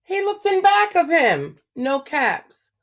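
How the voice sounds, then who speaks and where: A woman reading a picture book aloud to children, slow and expressive, pausing to ask them questions. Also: very high-pitched. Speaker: children's story reader. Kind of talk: read-aloud storytelling for children.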